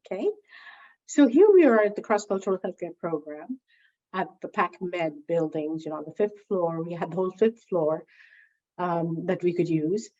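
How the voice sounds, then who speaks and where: A woman being interviewed, telling stories from her past in an animated way and repeating words as she gathers her thoughts. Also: mid-range voice. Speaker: interviewee, founding member recalling early days. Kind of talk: reflective storytelling interview. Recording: slightly dull lo-fi sound.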